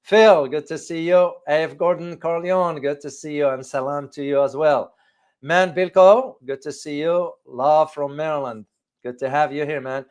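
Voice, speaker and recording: Higher-pitched middle-aged man, halting commentary. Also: fairly even intonation, a little mellow, smooth. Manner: halting, repeats phrases for emphasis. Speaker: host of a geopolitics show. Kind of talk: informal livestream commentary to viewers. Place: small furnished home room, indoors.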